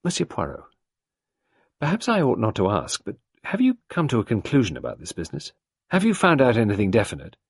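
A man's voice: fairly low pitch, wildly swooping pitch, brisk, husky, dry recording, clean studio sound, pitched above the performer's own voice, exaggerated voice. Sarah, a frightened young woman, as a man puts her on. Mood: anxious.

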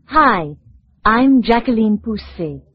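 A woman's voice: a little high, expressive, slow, husky, dry recording. A woman introducing herself in a friendly way in a scripted course dialogue. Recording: dull lo-fi dry tape recording.

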